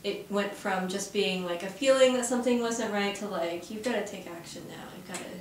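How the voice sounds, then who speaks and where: A chatty young woman from Arizona talks through an interview, often pausing on fillers. Her voice is heard from some distance away from the mic. It bounces around a very reverberant office, with faint noise underneath. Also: medium pitch. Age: young adult. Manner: chatty, lots of fillers, laughs easily. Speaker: guest. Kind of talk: casual interview conversation. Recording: very echoey office from far off.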